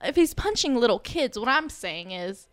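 A woman's voice: fairly high pitch, very animated, normal speed, dry recording, studio quality. A young woman hosting a casual radio show, chatty and joking, with a lot of filler words.

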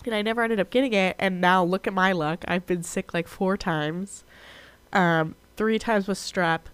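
A young woman hosting a college radio show alone, chatting casually; she sounds congested, nasal and a little raspy from being sick. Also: mid-range voice, normal speed, no room sound. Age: young adult. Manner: chatty, frequent "like" and "um", restarts. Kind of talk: casual solo radio chat.

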